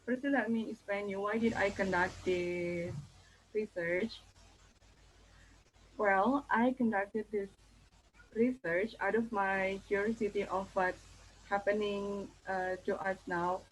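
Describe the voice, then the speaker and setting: Slow, hesitant Indonesian-accented young woman. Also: medium pitch, fairly even intonation, slight background noise, low-quality recording. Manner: careful, hesitant, many fillers and restarts. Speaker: thesis candidate. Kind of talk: thesis defense presentation.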